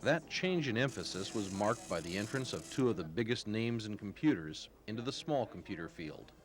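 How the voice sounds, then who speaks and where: A man's voice: lowish voice, lively intonation, normal speed. A man TV news reporter giving a scripted report on an archival 1980s broadcast. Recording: busy crowd noise behind, 1980s TV.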